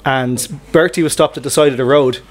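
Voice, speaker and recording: A man with a Dublin accent, hosting a casual podcast chat and telling stories in a chatty way. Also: medium pitch. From Dublin, Ireland. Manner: rapid, chatty storytelling. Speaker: podcast host.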